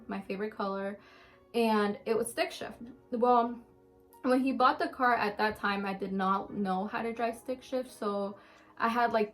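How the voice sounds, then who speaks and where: A woman of about twenty chatting casually to camera, answering viewer questions in a candid, rambling way with many ums. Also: mid-range voice. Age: around twenty. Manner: chatty, frequent ums and restarts, open and candid.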